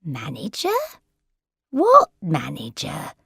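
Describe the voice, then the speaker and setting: A woman reading the part of a muddled elderly woman, talking slowly in a wandering, confused way, in a dry recording. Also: deep voice, huge pitch swings, vocal fry, a bit bright, husky, dry recording, full character voice. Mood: bemused. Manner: slow, wandering, confused speech.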